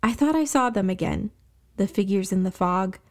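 A woman's voice: medium pitch, lively intonation, moderate pace. A woman reading scripted narration as the host and storyteller of a fiction podcast.

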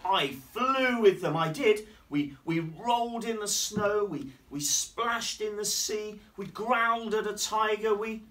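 A man voicing an excitable little girl in a children's storybook, breathless and boastful, with emphatic repetition. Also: sits high, a little slow, few pauses, voice pushed up. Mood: excited, boastful. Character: excitable little girl eagerly telling daddy her adventures. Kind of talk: children's storybook read aloud. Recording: distant mic with faint background noise.

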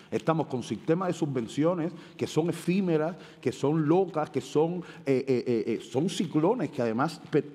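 A Cuban man in his sixties talking into a headset mic on an auditorium stage, his voice mellow and dark. He speaks with heat and stumbles on fillers, and the long hall reverb trails behind every phrase. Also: on the high side. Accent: Cuban Spanish. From Cuba. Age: 60s. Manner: animated, gestures widely, many hesitations. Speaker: panel speaker. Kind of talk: impassioned panel debate.

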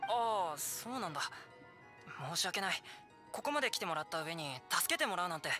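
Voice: medium pitch, lively intonation, brisk, over music, natural voice. A performer playing an earnest, timid young male novice adventurer in a video game, polite and admiring. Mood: apologetic. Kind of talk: Japanese video game voice acting.

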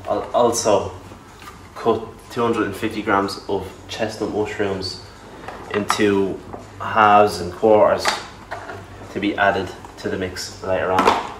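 A young man, talking casually through a cooking walkthrough in a home kitchen, relaxed and self-deprecating. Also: fairly low pitch, takes it slowly, far from the mic, noisy background. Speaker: fitness vlogger. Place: large home kitchen, indoors. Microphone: camera mic at a distance. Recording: echoey kitchen, voice from off-mic.

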